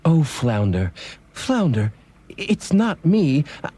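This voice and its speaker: A performer playing a meek, hesitant fisherman in a musical children's story, puzzled and deliberate. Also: mid-range voice, very animated, mellow, breathy edge, full character voice. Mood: timid, apologetic.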